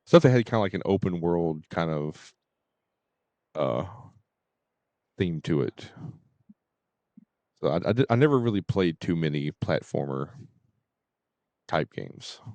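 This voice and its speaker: A man's voice: low voice, expressive, measured pace, pauses often, warm tone, no echo, lo-fi sound. A man streaming games and chatting casually in a hesitant, rambling way. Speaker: game streamer. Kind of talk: casual livestream chat.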